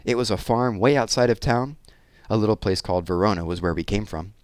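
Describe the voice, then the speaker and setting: A man's voice: fairly low pitch, fairly even intonation, brisk, dry recording, lightly acted. A man voicing a chatty, wistful, kindly hairdresser woman with a folksy, exclaiming manner in an audiobook story. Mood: reminiscent.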